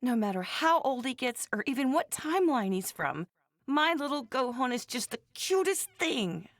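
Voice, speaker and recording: A woman's voice: high-pitched, very animated, normal speed, no room sound, exaggerated voice. A woman voicing a doting, strict and blunt mother in an English anime game, with a motherly, pointed manner. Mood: doting, pained.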